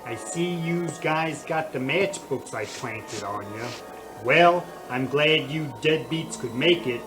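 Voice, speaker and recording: A man's voice: a little high, takes it slowly, music in the background, rough recording. An actor playing a tough, gloating male tavern keeper in a radio comedy. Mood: smug. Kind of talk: old-time radio comedy acting.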